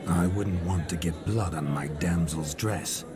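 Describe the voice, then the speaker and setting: A man's voice: low voice, unhurried, a crowd in the background. An actor playing a proud, touchy male nobleman's ghost speaking in an indignant, haughty way. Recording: game cutscene with music score.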